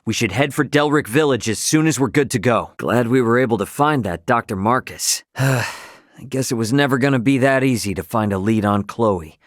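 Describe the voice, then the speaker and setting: A man's voice: mid-range voice, normal speed, no room sound, studio quality, lightly acted. A man playing an easygoing, cocky young adventurer hero in a video game, speaking casually and wryly. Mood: earnest. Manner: casual, colloquial, wry. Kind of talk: acted video game dialogue.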